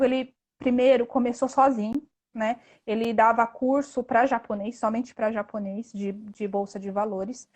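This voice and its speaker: High-voiced Brazilian woman explaining earnestly. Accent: Brazilian Portuguese. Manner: earnest, explanatory, frequent tag questions. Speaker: interview guest, investment instructor. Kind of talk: conversational interview, explaining.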